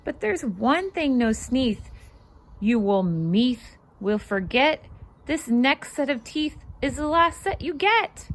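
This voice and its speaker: A woman reading a children's storybook aloud in an expressive, sing-song way, with casual asides to her young listeners. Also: high voice, slow pace. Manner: animated, sing-song rhyming delivery. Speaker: children's read-aloud host and fitness instructor.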